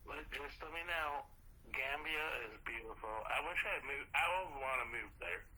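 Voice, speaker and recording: A man co-hosting a live audio chat, casual and agreeable, quick to affirm what others say. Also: mid-range voice, animated, pushed and bright, low-quality recording.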